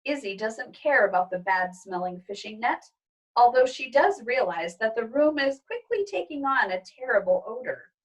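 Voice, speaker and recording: A woman teacher reading a children's story aloud in a gentle, expressive way. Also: fairly high pitch, normal speed, recorded from a distance, low-quality recording.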